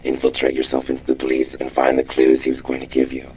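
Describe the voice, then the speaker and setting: A man voicing a gruff robot boss in a video game, terse and authoritative as he hands out missions. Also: rumbling low, completely flat delivery, fast talker, darkish tone, slight background noise, poor audio. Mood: grave, urgent. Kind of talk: scripted game dialogue.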